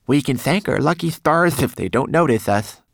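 A man's voice: a little high, expressive, moderate pace, creaky, dry recording, voice pushed up. A man voicing a tiny, timid male manikin servant in a fantasy audiobook, formal, anxious and deferential. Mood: cautious.

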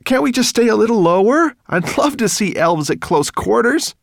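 A man's voice: fairly low pitch, big pitch swings, normal speed, a little mellow, dry recording, studio quality. A man voicing a curious, eager young boy adventurer in a fantasy audiobook, questioning and wide-eyed. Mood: pleading.

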